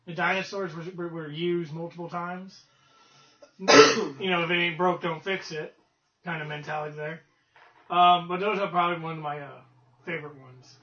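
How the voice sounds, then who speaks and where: A man on a casual chat panel, stumbling and repeating himself as he gives his view. His voice is high and bright. He is heard from off the mic in a roomy space on a dull recording. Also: steady tone, moderate pace. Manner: hesitant, repeats words. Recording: dull, echoey sound from off the mic.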